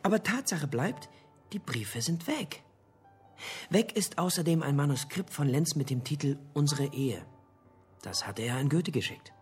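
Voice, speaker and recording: An actor playing an investigator who briefs colleagues like an eager lecturer in a radio drama, husky and didactic, with emphatic pauses. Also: male voice, a little high, animated, soft background music. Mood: explaining, engaged.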